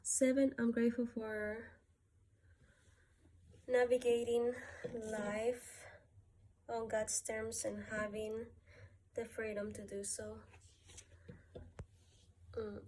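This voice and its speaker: A woman from Peru talking through a personal gratitude list, softly and hesitantly, with many pauses and fillers. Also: mid-range voice. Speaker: vlogger.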